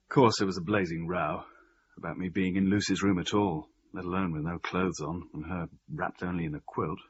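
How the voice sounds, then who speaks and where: A man's voice: low-pitched, fairly even intonation, a little fast, husky, dull audio. An actor playing a young man with a British accent, recounting events in a wry, conversational way. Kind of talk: radio drama narration.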